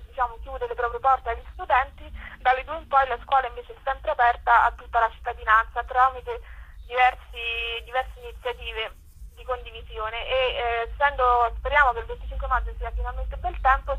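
A woman speaks as an interview guest. Her voice sits fairly high and is strikingly bright, with a raspy grain. She explains things in a conversational way, as an organiser presenting a project. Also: low-quality recording.

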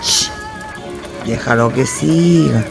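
Impatient Chilean tavern pal, music behind. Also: male voice, mid-range voice, slow pace, gravelly. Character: gruff impatient male tavern drinking companion. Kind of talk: radio drama acting.

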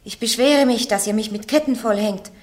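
A woman's voice: fairly high pitch, moderate pace, lightly acted. An actress playing a blunt, fearless young peasant woman on trial in a radio play. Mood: defiant, indignant. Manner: blunt, defiant, plain-spoken.

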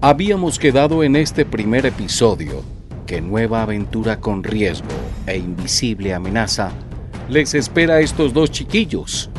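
A man's voice: big pitch swings, moderate pace. A man introducing an audio drama episode in a suspenseful, theatrical scripted style. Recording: music and sound effects behind voice. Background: music, rumbling effects.

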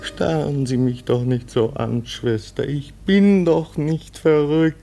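A man's voice: a little high, slow pace, vocal fry, mellow. An actor in his thirties playing a frightened man who pleads urgently to be heard, with music behind. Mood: desperate. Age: 30s. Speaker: radio play lead.

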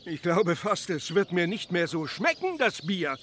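An actor playing a plaintive, brooding carpenter of nearly seventy in a radio play. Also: male voice, high voice, very animated, measured pace, fairly bright tone, full character voice. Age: 60s. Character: grumbling grey-haired carpenter, nearly seventy, mourning his beer mug.

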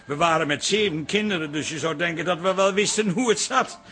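A man's voice: a little high, brisk, fairly bright tone. An actor playing a flustered, earnest middle-aged man raised on a farm, rambling in a radio comedy-drama. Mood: rambling storytelling.

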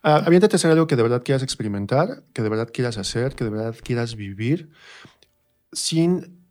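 A man's voice: mid-range voice, lively intonation, fairly quick, a little mellow. A man with a Mexican accent talking casually as an interview guest, emphatic and repeating himself. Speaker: interview guest, stage performer. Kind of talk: casual conversation.

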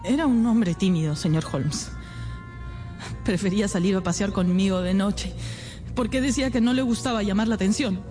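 A woman's voice: mid-range voice, normal speed, dark tone, faint music behind, close to an everyday voice. An actress playing an anxious, naive and devoted young woman in a radio drama, speaking earnestly with hesitant repetitions. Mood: confiding. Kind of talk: Spanish-language radio drama acting.

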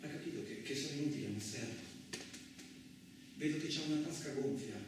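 An actor playing a frightened, injured farm labourer, telling his story in the first person as a halting, repetitive theatrical radio monologue. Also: mid-range voice, background noise, natural voice. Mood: hushed, fearful. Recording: very echoey, distant, loud music behind. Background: music.

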